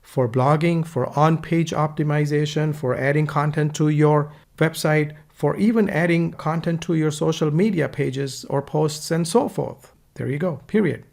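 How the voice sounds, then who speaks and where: A man's voice: a little high, normal speed, gravelly, hardly any pauses, a little mellow. A man with an Indian accent teaching a screen-recorded course in a casual, instructive way, recorded on a USB desktop microphone. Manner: casual, instructive, dictates punctuation aloud.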